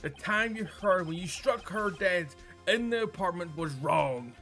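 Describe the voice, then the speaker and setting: A man's voice: sits high, lively intonation, measured pace, sharp tone, full character voice. A man voicing an earnest, exasperated young defense lawyer in a courtroom game. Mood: accusing. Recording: music under the voice.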